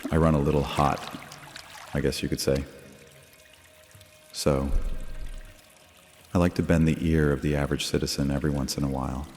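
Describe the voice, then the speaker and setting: A man's voice: a bass voice, a little mellow. A man playing a cocky, carefree, flirtatious vampire in an immersive fantasy audio drama, teasing and lazily seductive. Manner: teasing, lazy, seductive, flippant. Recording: long reverb, music bed, water sounds.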